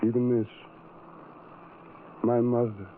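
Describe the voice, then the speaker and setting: An actor playing a sorrowful, self-doubting outcast in an old-time radio drama, halting and brooding with heavy pauses. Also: male voice, mid-range voice, little pitch movement, natural voice. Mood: mournful, longing. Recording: tinny, noisy old radio recording.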